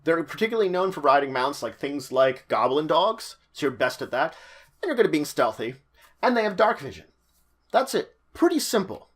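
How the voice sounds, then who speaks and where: Higher-pitched man explaining a tabletop game. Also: sing-song, a little fast, fairly bright tone. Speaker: presenter of tabletop roleplaying guides. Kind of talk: semi-scripted explanatory commentary.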